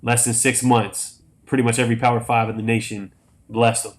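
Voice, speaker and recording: A man's voice: medium pitch, level tone, brisk. Crackly-voiced American man hosting sports talk. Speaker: host of a live sports show. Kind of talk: casual sports talk on a livestream.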